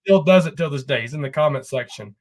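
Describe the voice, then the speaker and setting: A man from Texas in a casual sports chat, relaxed and jokey, often laughing while he talks. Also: fairly high pitch, fast talker. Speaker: fellow show host.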